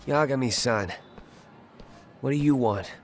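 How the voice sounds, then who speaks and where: Blunt high school boy played by a man. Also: measured pace, soft background music, lightly acted. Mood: wary. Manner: blunt, casual. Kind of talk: English game dub dialogue.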